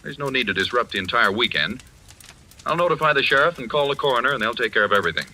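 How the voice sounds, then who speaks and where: An actor playing an officious, defensive society host in an old radio mystery, speaking in a brisk, insistent way. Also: male voice, medium pitch, lively intonation, sharp tone, exaggerated voice. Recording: old radio recording, faint noise.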